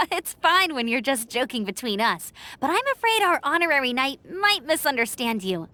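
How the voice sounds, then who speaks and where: Perky young female scout: upbeat, chatty, high bright voice, animated anime game delivery. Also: moderate pace, dry recording, exaggerated voice. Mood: friendly. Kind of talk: anime-style video game dialogue.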